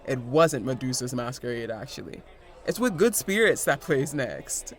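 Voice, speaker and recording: A man's voice: medium pitch, big pitch swings, moderate pace. A man playing a chatty bar patron, telling an anecdote in a confiding, digressive, conversational way. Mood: gossipy, amused. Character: chatty, gossipy bar patron recalling a costume party.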